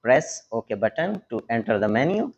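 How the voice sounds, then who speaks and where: A man presenting a hands-on, step-by-step technical tutorial, speaking instructionally in short, halting phrases. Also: medium pitch, sing-song, a little mellow, slightly rough, muffled recording. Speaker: tech tutorial host.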